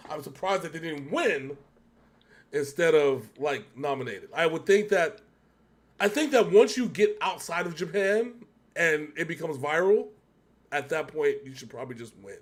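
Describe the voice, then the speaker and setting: American guy chatting casually, kinda higher voice, rambles a lot, slangy reaction host. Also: animated, normal speed. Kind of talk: casual chat.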